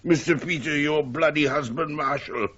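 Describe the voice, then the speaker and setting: An actor playing a despairing, theatrical poet in a radio drama, bitter, proud and brooding. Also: male voice, fairly high pitch, fairly bright tone. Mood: wounded, bitter. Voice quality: gravelly, husky. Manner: melodramatic, brooding, halting repetitions. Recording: muffled 1980s radio recording.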